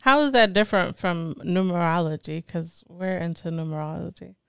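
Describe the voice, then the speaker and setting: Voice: medium pitch, moderate pace, vocal fry, fairly bright tone, clean tone, no echo, studio quality. An interviewer from East Africa who asks curious follow-up questions in a casual tone. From Ethiopia or Eritrea.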